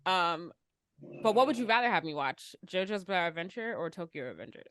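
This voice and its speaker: A woman's voice: on the low side, lively intonation, a little fast, fairly bright tone, clear, resonant tone, no room sound, clean studio sound. A woman chatting casually as a podcast co-host, with an informal, giggly manner. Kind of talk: casual chat.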